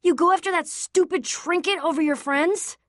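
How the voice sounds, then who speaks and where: A woman voicing a tough, guarded but loyal young female adventurer in an animated adventure game, husky and blunt, with a casual, earnest delivery. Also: very high voice, moderate pace, dry recording, clean studio sound. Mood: scolding. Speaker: game voice actress.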